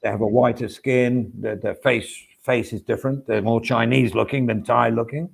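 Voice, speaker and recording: A man's voice: medium pitch, fairly even intonation, moderate pace, gravelly, slightly rough. A man hosting a livestream, chatting casually and reading out viewer comments. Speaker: stream host. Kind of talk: casual chat.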